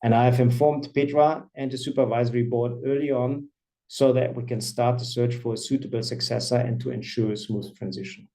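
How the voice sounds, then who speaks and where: A man in his fifties, a company CFO, presenting quarterly results on an earnings call in a measured, formal way. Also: medium pitch, fairly even intonation, dark tone. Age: 50s. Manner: measured, formal reading of financial figures.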